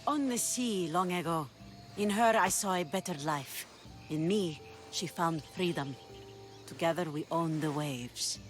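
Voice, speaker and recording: A woman's voice: fairly low pitch, measured pace, faint music behind, close to an everyday voice. A woman playing a self-assured, wry pirate captain of ancient Greece in a video game. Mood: wistful, assured. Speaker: game character. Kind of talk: video game cutscene acting.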